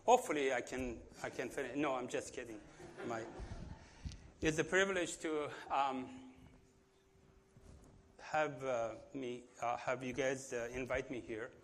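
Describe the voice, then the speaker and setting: Iranian-accented man giving halting church testimony. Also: mid-range voice, slight background noise. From Iran. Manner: halting, full of fillers and restarts. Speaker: guest speaker giving testimony.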